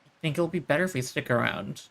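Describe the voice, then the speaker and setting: A woman's voice: sits low, lively intonation, fast, creaky. A woman streamer giving casual live game commentary in muttered reactions.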